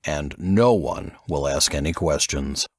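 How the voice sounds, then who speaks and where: A man voicing a grave, assured male investigator who lectures in a measured way, in an audiobook. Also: a bass voice, no room sound, studio quality, voice pushed down, full character voice. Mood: grave, somber. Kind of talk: audiobook character dialogue.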